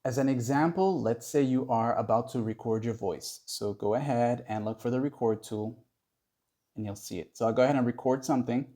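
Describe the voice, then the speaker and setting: A man narrating a casual, step-by-step software tutorial as its host. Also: mid-range voice, brisk.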